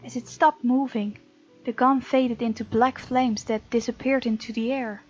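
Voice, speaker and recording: A woman hosting a let's play, reading a visual novel aloud as story narration with playful, expressive asides. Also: fairly high pitch, normal speed. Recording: dull, lo-fi sound with music behind.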